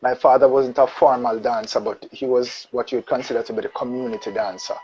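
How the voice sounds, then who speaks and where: A man from Jamaica with a Jamaican accent, a guest on a casual live-stream interview, speaking in a relaxed way with patois phrases. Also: medium pitch, a little fast, breathy edge. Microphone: phone on a live video call. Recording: dull lo-fi live-stream audio with faint noise.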